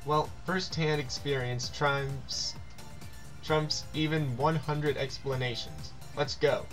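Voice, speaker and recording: A man with a fairly high voice talks through a video game at a slow pace, reading the text aloud in a casual way. He sometimes trips over words and repeats them, with faint music behind him. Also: steady tone, a bit bright.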